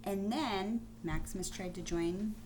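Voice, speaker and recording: A woman schoolteacher giving a phonics lesson to young pupils on video, explaining deliberately and spelling things out. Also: medium pitch. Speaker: primary school teacher.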